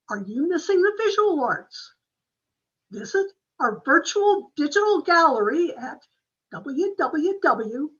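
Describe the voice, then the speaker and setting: A woman's voice: high voice, pushed and bright. A woman reading scripted promotional announcements in the manner of an old-time radio announcer. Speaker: theater announcer. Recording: slightly dull, lo-fi sound.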